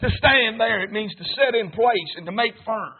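A man's voice: high voice, moderate pace, slightly rough. A man preaching an emphatic revival sermon, punctuating lines with "hey" and "amen". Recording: tinny phone-like sound.

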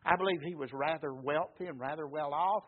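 A man preaches in a folksy, emphatic way, pausing to call for amens from the congregation. His voice sits high and sounds husky. The recording is thin and phone-like. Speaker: pastor. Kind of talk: sermon.

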